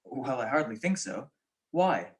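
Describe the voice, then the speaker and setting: A woman's voice: a bass voice, sing-song, a little slow, full character voice. A young woman acting a suave, flippant male detective husband in a 1940s-style radio drama, with theatrical delivery. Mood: brisk, shrewd. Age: young adult.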